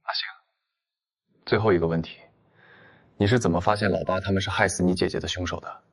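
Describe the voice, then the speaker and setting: A cool, clever man played by an actor with a fairly low voice. He speaks with restraint, in the style of an animated detective drama. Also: a little fast, a crowd in the background. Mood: serious. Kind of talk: audio drama acting for animated comic.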